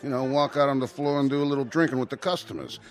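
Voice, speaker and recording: An actor playing a cynical, grumbling nightclub boss in a radio play. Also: male voice, mid-range voice, fast talker, lightly acted.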